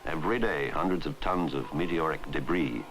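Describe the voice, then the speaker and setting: A man reading scripted narration for a 1960s educational film in a measured, formal way. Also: rumbling low. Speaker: documentary narrator. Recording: 1960s film soundtrack, loud music. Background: loud background music.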